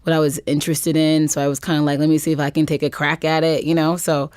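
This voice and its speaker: A woman's voice: sits low, flat delivery, fast talker, darkish tone, dry recording, clean studio sound. A woman speaking casually as an interview guest, conversational and peppering her talk with like and you know. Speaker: guest playwright and performer.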